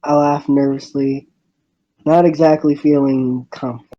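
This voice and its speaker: A man's voice: on the high side, steady tone, unhurried, no room sound, dull audio. A man reading a visual novel aloud, often stumbling and restarting phrases.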